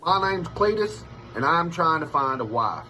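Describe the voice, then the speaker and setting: A man's voice: a little high, very animated, moderate pace, bright, forceful tone, soft background music, exaggerated voice. A man playing a cocky, domineering rural bachelor in a comic monologue, with a folksy Southern US accent. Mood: brash, boastful. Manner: folksy, brash.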